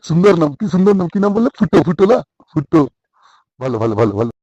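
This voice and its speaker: Man playing a quick, effusive cartoon boss. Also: sits high, sing-song, mellow, no room sound, muffled recording. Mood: amused, pleasant. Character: genial, obliging male boss. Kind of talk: comic cartoon dialogue.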